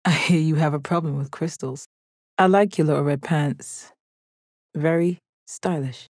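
A calm alien warrior woman for a fighting video game. The voice sits fairly low and speaks in a measured, formal, deadpan way. She sounds confident and kind beneath the stoicism, recorded very dry with no room sound. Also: no room sound. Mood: encouraging, confident. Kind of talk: video game character voice lines.

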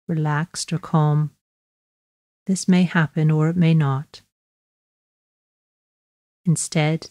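A woman guiding a body scan meditation in a slow, measured, gently instructive way, leaving long pauses. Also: sits low, no echo, clean studio sound. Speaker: mindfulness meditation guide.

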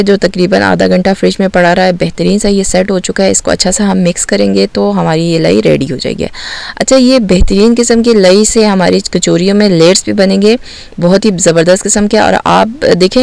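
A woman from Baltistan hosting a home cooking channel, calmly explaining each step of a recipe to the viewer. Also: mid-range voice, vocal fry, dry recording. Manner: calm, explanatory, addresses viewer directly.

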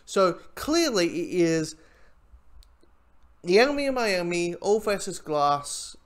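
A man giving an informal spoken review, talking in a rambling, halting way with frequent asides. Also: sits high, very animated. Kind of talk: informal audio drama review commentary.

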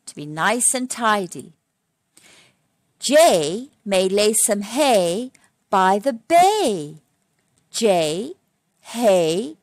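A woman's voice: on the high side, darkish tone, no room sound, studio quality. A woman reading a picture book aloud as a phonics lesson for young children, teacherly, setting out words one by one and putting questions to her listeners. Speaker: children's phonics storytime reader.

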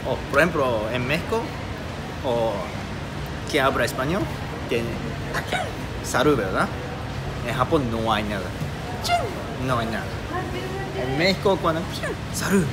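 Slow-talking Japanese-accented man, noisy background. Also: medium pitch, lively intonation. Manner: playful, halting non-native phrasing. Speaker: vlog host. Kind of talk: casual vlog chat. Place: beside vending machines. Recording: loud ambient machine and traffic noise.

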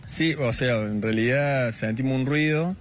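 A man's voice: mid-range voice, unhurried, a little hiss or hum. A man in his thirties with an Argentine accent, a neighbor telling a reporter what he saw, stumbling and repeating words. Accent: Argentine Spanish. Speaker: neighbor and witness. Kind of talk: casual interview answers. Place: outdoors on a street. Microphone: reporter's handheld mic.

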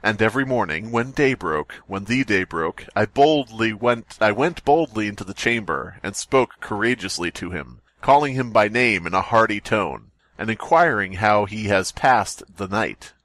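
A man's voice: lowish voice, expressive, normal speed, few pauses, a bit bright, dry recording. A man hosting a podcast, reading a story aloud theatrically and breaking off into jokey, sweary banter. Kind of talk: dramatic story reading mixed with joking banter.

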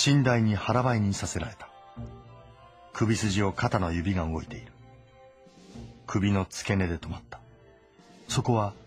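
Male narrator for a historical epic, lowish register, measured and terse, light music bed. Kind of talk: dramatic scripted narration of a historical novel. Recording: voice over background music.

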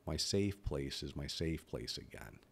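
A man's voice: extremely low pitch, lively intonation, unhurried, creaky, a bit bright, slightly rough. A man in his fifties who stutters, talking bluntly and candidly about his own life as a podcast host. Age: 50s. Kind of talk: personal reflective monologue.

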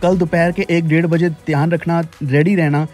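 A man's voice: on the high side, steady tone, moderate pace, darkish tone, faint music behind. A man hosting a crypto channel, explaining a screen recording in a repetitive, urging way. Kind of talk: screen-recorded tutorial talk.

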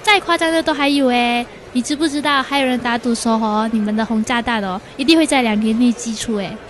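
A woman's voice: fairly high pitch, brisk, close to an everyday voice. A young woman with a Malaysian Chinese accent playing a teasing, exclamatory university student and best friend in a radio drama. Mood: chatty, teasing. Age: young adult. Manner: exclamatory, colloquial, mock-complaining.

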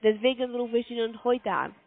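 A woman with a foreign accent in German on a sales call, halting and insistent with her questions. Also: fairly high pitch, gravelly. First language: not German. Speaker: call center sales agent. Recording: narrow-band phone line.

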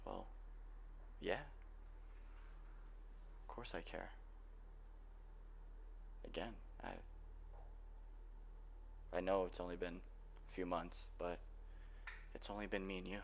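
A man acts out a guarded young cyberpunk hacker boyfriend in an ASMR roleplay. His voice sits low, and he speaks in earnest, halting phrases, protective of his partner. The recording is dull and lo-fi with faint noise. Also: flat delivery, gravelly, heavily acted. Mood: earnest, tender. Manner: earnest, halting delivery with sighs.

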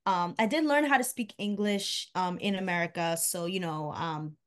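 A woman's voice: lowish voice, normal speed, clean tone. A young woman from Haiti, a recording artist, chatting casually as a guest over a video call, with lots of fillers and trailing asides. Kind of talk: casual interview chat. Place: home room, indoors. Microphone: video call webcam.

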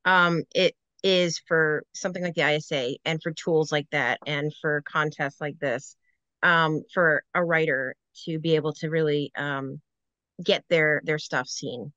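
A woman's voice: deep voice, steady tone, unhurried, bright, forceful tone, no echo. A woman in her thirties asking questions as a casual interviewer over a video call. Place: indoors in a room full of shelves, on a video call. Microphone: computer video-call microphone. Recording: dull, dry video-call audio.

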